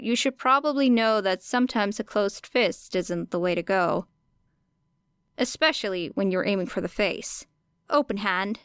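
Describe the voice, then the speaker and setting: A woman narrator plays a cocky young female street fighter in an audiobook. She talks bluntly and casually, like a teasing coach. The voice is clear and smooth, in a dry, close recording. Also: mid-range voice, fairly bright tone, dry recording, close to an everyday voice. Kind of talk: audiobook character dialogue.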